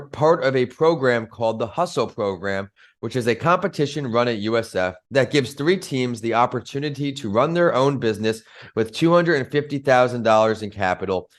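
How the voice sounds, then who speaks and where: A man hosting an entrepreneur podcast with a smooth, resonant voice. He reads his introductions in an upbeat, scripted way and moves briskly from guest to guest. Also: mid-range voice. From northern United States. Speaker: podcast host.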